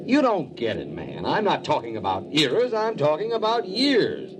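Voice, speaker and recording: An actor playing a keen, baffled field geologist, informal and emphatic, in a 1950s radio drama. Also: male voice, fairly high pitch, huge pitch swings, normal speed. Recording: loud vehicle-like noise behind old radio recording.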